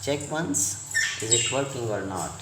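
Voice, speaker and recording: A man giving an unscripted step-by-step repair tutorial in short, halting, repetitive instructions, recorded in an echoey room. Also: mid-range voice, lively intonation. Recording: echoey room, loud noise and clicks.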